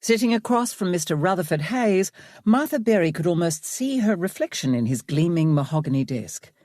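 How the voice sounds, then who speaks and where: Audiobook narrator: woman, animated range of pitch, very dry studio sound. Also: moderate pace, dry recording. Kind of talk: scripted fiction narration.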